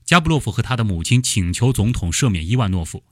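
An actor playing a brisk TV news commentator of about thirty, reading formal reports in a radio drama. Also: male voice, on the low side, sing-song, a bit bright, no room sound, clean studio sound.

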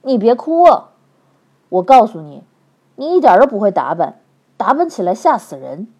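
A woman voicing an impatient young swordsman who talks loudly and bluntly. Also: medium pitch, sing-song, normal speed, slightly rough, dull audio. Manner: loud and blunt.

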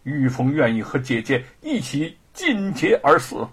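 A man plays a young woman ready to sacrifice herself in a storybook tale. The voice is husky and dramatic in pitch, earnest and a little halting. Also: huge pitch swings, exaggerated voice. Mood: anguished. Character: devoted young woman, unwed sweetheart, self-sacrificing.

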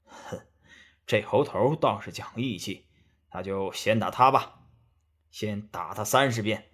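A man's voice: fairly low pitch, expressive, measured pace. A man voicing a stern, angry old Taoist immortal in a storybook, scolding and commanding. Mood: sneering.

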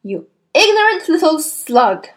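A woman voicing a sarcastic, bullying headmistress who barks and sneers loudly. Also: fairly high pitch, very animated, moderate pace. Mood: bellowing. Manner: barking, sneering, loud.

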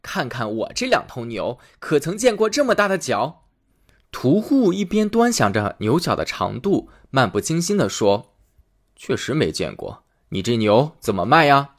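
A man hosting and reading a fairy tale aloud in an expressive storytelling manner. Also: mid-range voice, moderate pace. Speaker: storyteller host.

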